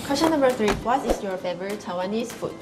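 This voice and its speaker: A woman interviewer reading out numbered questions brightly. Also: mid-range voice, lively intonation, fast. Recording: echoey room with loud music.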